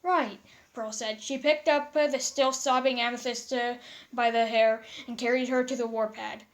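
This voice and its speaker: A woman reading a fan fiction story aloud in an animated storytelling style. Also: a little high, normal speed.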